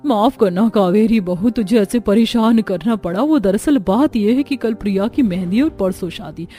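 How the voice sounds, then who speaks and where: A woman's voice: mid-range voice, moderate pace, warm tone, soft background music, close to an everyday voice. A woman voicing a bossy older village aunt-in-law who gives household orders in a matter-of-fact way in a cartoon story. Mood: apologetic, instructing. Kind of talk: Hindi cartoon story dialogue.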